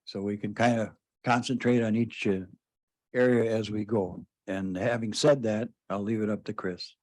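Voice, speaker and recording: A man chairing a county board meeting, speaking in a loud voice with frequent halting fillers. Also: on the low side, fairly even intonation.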